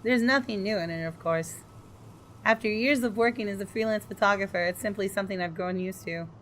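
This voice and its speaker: A woman hosting a gaming video, reading aloud and commenting in a casual, expressive way. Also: mid-range voice, brisk, a little hiss or hum, poor audio. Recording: voice over guitar game music.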